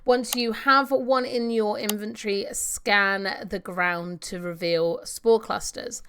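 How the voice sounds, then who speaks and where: A woman's voice: mid-range voice, fairly even intonation, slow pace, fairly bright tone, a little hiss or hum. A woman doing casual, chatty video game walkthrough commentary, talking to herself as she plays and reading out on-screen text. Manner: chatty, talks to herself while playing.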